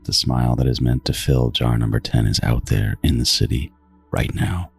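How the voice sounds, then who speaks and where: An actor playing a meticulous, coldly fond serial killer who narrates a horror audio drama in a measured, savoring manner. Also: male voice, very deep voice, creaky, breathy edge, heavily acted. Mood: brooding, intent. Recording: music behind the voice.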